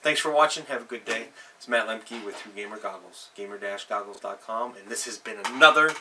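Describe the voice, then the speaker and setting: Bright-voiced, low-pitched man. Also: fairly quick. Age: middle-aged. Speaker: host, tabletop game master. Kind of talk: casual advice vlog, unscripted. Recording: distant mic with faint background noise.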